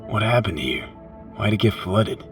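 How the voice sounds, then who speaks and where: A man's voice: fairly low pitch, expressive, normal speed, lightly acted. A man playing an easygoing, curious nomad drifter in a video game, answering in short, casual, slangy lines. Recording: loud game music behind.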